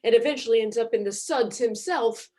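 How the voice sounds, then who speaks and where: A woman's voice: on the high side, brisk, dark tone. A woman comedian narrating a story with mock-dramatic commentary.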